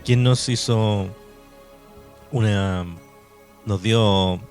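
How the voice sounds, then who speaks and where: A man's voice: fairly low pitch, steady tone, drawn-out words, darkish tone, clean tone. A Chilean man hosting a radio programme in a courteous, reflective conversational way. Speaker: radio host. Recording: music bed under the voice. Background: background music.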